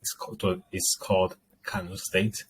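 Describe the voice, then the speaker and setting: A man's voice: deep voice, level tone, measured pace, slight background noise, rough recording. A man with a Nigerian accent answering as an interview guest, explaining things as he goes.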